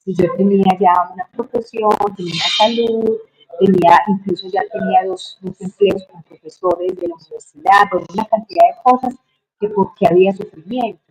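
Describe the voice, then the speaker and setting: A middle-aged woman with a Colombian Spanish accent tells her life story as an interview guest, reflective and unhurried, with many hesitations. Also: mid-range voice, animated, darkish tone, husky, rough recording.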